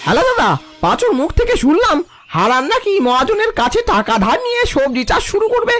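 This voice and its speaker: High, animated outspoken villager. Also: female voice, fast talker, faint music behind. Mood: gossipy warning. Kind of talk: cartoon folk-tale dialogue.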